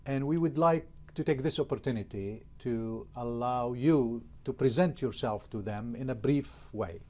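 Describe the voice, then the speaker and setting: A man hosts a formal television interview in a dark, mellow voice. He asks long, winding questions, pausing on fillers as he goes. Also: mid-range voice. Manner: hesitant questioning with many fillers. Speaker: TV interview host.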